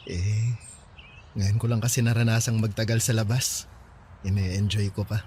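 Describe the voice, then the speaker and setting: A man's voice: fairly low pitch, little pitch movement, moderate pace, a little mellow, a little hiss or hum, close to an everyday voice. An actor playing a shy, sheltered, nerdy young man with a Filipino accent, speaking hesitantly in a radio drama. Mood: shy, grateful. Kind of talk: Tagalog radio drama acting.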